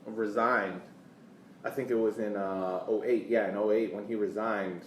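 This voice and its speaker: A man co-hosting a sports podcast, explaining soccer history casually in a halting way, repeating phrases for emphasis. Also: lowish voice, fairly even intonation. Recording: roomy, distant mic, faint noise.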